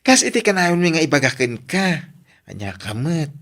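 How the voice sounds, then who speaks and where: A man's voice: fairly high pitch, sing-song, moderate pace, fairly bright tone, heavily acted. A man playing a sassy, blunt young best friend with an Ilocano accent, mixing in English slang in an audio drama. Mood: urging, supportive. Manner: peppers speech with English slang and "day".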